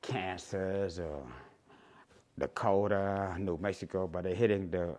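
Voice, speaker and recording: Slow, deep-voiced older Cambodian man. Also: fairly even intonation, a little mellow. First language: Khmer. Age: 60s. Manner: rambling, halting, many "uh"s and restarts. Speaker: YouTuber. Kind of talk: casual vlog to camera.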